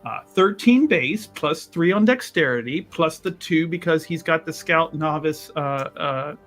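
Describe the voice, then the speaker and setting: Higher-voiced man, wordy tabletop player. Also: unhurried, a bit bright, faint music behind. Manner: wordy, theatrical phrasing. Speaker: tabletop role-playing game player. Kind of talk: casual game play commentary.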